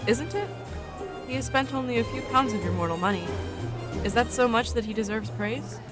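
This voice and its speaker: A woman's voice: on the high side, expressive, over music, natural voice. A young woman playing the Ghost of Christmas Past in a radio drama, solemn, commanding and formal as she probes with questions. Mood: probing. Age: young adult. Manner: formal, measured, probing. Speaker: student actor.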